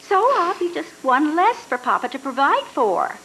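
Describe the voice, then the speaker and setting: A woman's voice: sits high, normal speed, slight background noise, heavily acted, rough recording. An older actress reading the part of a defiant young woman bent on becoming a star, in a live radio drama re-enactment on stage. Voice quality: lively, defiant, flippant. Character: brash young woman dreaming of stardom. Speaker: reader's theatre actress. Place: hotel ballroom stage with audience. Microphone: stand mic on stage.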